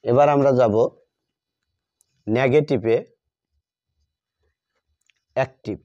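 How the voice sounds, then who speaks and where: A man with a Bengali accent teaching a grammar lesson step by step, dictating in short, halting pieces and repeating himself. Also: mid-range voice, mellow. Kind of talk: step-by-step lesson, explaining while writing. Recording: dull, muffled lo-fi audio.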